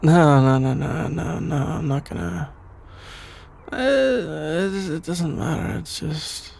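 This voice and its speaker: A man playing a shy, sleepy young best friend in an ASMR roleplay, stammering, hesitant and evasive in a creaky, drowsy voice. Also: a little high, slow pace, a little mellow, full character voice. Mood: embarrassed, evasive.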